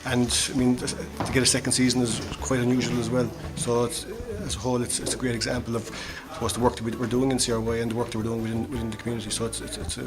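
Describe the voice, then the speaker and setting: A man's voice: mid-range voice, level tone, very fast, few pauses. An Irish man answering interview questions, often stammering and repeating words. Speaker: community radio development officer. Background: loud music.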